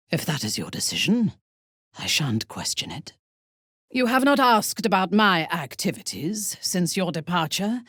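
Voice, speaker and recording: A woman playing a proud, ruthless, seductive aristocratic villain in a video game, speaking in an imperious, deliberate, softly menacing way with a husky, breathy voice. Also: on the low side, no room sound, clean studio sound, full character voice. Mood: cold, imperious. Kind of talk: dramatic video game voice acting.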